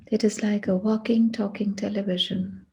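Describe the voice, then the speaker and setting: A woman guiding a meditation in a hushed, breathy voice, speaking deliberately in short phrases with long pauses. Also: medium pitch, flat delivery. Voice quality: breathy, husky. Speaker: meditation guide. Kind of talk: guided meditation.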